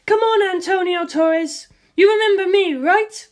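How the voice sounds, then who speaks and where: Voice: very high-pitched, a little slow, voice pushed up. A narrator voicing a confident young warrior woman with a composed, curt manner in a storybook reading.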